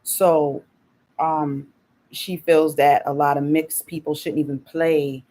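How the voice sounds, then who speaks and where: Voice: mid-range voice, flat delivery, slow, mellow. A channel host reacting on a live stream, talking hesitantly and restarting as they think aloud.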